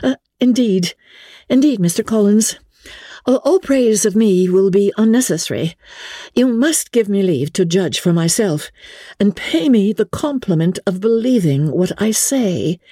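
A woman voicing a spirited young gentlewoman, flustered and emphatic yet polite, in a dramatic reading of a classic novel. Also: mid-range voice, no room sound, clean studio sound, voice pushed up. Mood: exasperated, earnest.

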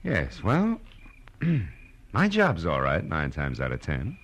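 A man's voice: extremely low pitch, huge pitch swings, takes it slowly, muffled recording, heavily acted. An actor playing a tough, world-weary male private detective in an old radio drama, with wry, clipped delivery. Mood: softened. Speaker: lead actor and first-person narrator of a detective radio drama. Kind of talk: hard-boiled 1940s-50s radio acting, scripted narration. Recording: vintage radio recording with music.

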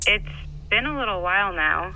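A woman's voice: medium pitch, lively intonation, moderate pace. An actress playing a subdued, tentative woman on a personal telephone voicemail in an audio drama. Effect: telephone voicemail filter. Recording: tinny narrow phone line.

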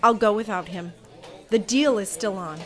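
A woman's voice: medium pitch, moderate pace, soft background music, natural voice. A woman voicing a brusque, impatient young woman making a secret deal in an audio drama. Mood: curt. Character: curt, scheming young woman on the run.

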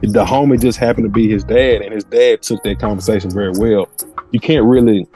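A man's voice: lowish voice, steady tone, a little fast, mellow, soft background music. A man on a podcast giving opinions in casual slang, often acting out imagined lines. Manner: slangy, acts out imagined quotes. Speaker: podcast panelist.

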